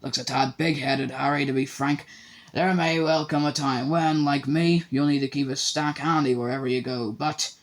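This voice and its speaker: A man's voice: medium pitch, fairly even intonation, moderate pace, lightly acted. A man reading an audiobook, voicing a vain, pompous and jovial grown-up teacher. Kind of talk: audiobook character voice.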